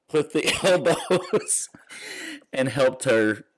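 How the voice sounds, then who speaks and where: A man in his forties hosting a podcast, asking questions and telling rambling, animated stories. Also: mid-range voice, extremely slow, a little hiss or hum. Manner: rambling, animated storytelling with laughs. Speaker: podcast host. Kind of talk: casual interview.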